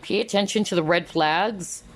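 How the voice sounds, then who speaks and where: Woman, tarot reader, low voice, chatty and exclamatory, casual pick-a-card reading. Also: normal speed, a little hiss or hum. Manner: chatty, checks in with tag questions; animated, exclaims often.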